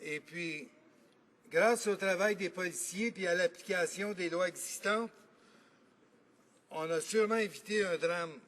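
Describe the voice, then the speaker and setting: A man with a Quebec French accent, a member of parliament giving an earnest, argumentative debate speech in a legislative chamber and pausing often to think. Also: high-pitched, fairly bright tone. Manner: earnest, argumentative, thinking aloud with frequent pauses.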